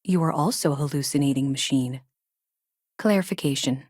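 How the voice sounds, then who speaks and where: A low robotic ai lady for a space game, clipped n literal, detached, super dry sound. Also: normal speed, darkish tone, husky, dry recording. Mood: measured, analytical. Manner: clipped, announces each statement with a one-word label, literal. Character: self-aware female ship AI, detached, logical, childlike earnest. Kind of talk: video game character dialogue.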